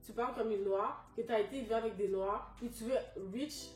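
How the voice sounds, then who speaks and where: A woman's voice: on the high side, a little fast. A young woman speaks frankly, leaning hard on her points and dropping in English words. She sits a little away from the mic in a roomy space, with faint music underneath. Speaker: panel guest. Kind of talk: casual discussion. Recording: echoey room, distant mic, soft music.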